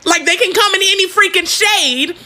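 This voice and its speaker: A speaker giving an animated casual vlog rant with emphatic bursts. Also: very high voice, normal speed.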